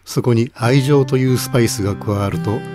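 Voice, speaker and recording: A man playing a polite, reflective host in an audio drama, narrating in a measured, scripted manner. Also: medium pitch, over music, close to an everyday voice. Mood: reflective, kindly.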